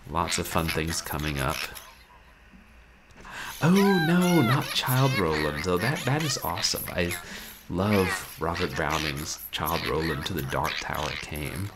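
A man in his thirties, a game streamer chatting casually at home in a wry, rambling, animated way. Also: low-pitched, slow pace, noisy background. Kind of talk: casual livestream chat. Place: small room at home, indoors.

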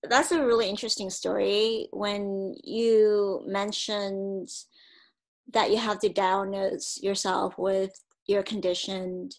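Casting: female interview host, average pitch, very unhurried delivery, conversational. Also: level tone, rough recording. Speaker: podcast host.

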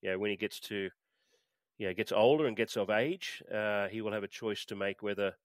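A man's voice: lowish voice, steady tone, fairly quick, no room sound. A middle-aged Australian man chatting as a podcast guest, hesitant with plenty of fillers and restarts. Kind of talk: casual interview chat.

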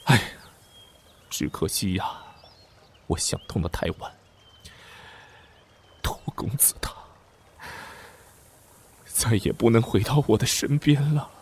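A man's voice: mid-range voice, lively intonation, slow, long pauses, slightly rough. A man playing a melodramatic young prince in a Chinese costume audio drama, theatrical and stammering when flustered. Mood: mournful, pompous. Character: young lecherous prince, secretly another soul, theatrically grieving and scheming.

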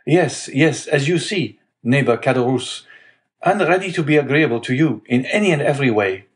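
A man's voice: mid-range voice, lively intonation, moderate pace, voice pushed up, lightly acted. A man voicing an earnest, devoted young sailor in a novel, speaking ardently and courteously. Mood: guarded.

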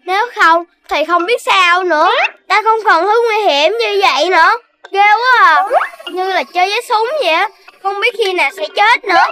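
A performer voicing an excitable young puppy boy in a children's cartoon, talking in a childlike, exclamatory way. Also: extremely high pitch, normal speed. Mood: relieved. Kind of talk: animated children's cartoon dubbing.

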